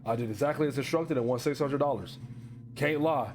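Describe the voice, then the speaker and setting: A man's voice: medium pitch, brisk, darkish tone, a little hiss or hum, close to an everyday voice. Actor as a weary, desperate down-on-his-luck man. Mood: uneasy. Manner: confessional, resigned, wry. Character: weary, broke, desperate divorced man confiding his story. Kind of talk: first-person analog horror narration.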